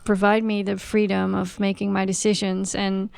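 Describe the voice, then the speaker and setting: A woman, a podcast guest who speaks hesitantly with many pauses. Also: medium pitch, little pitch movement, vocal fry, a little mellow, clean tone, dry recording. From Netherlands.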